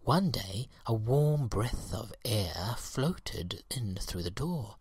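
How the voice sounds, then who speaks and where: A man reading a children's tale as a storyteller, expressive and measured, with a husky, breathy voice. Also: fairly low pitch, no echo. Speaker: storybook reader.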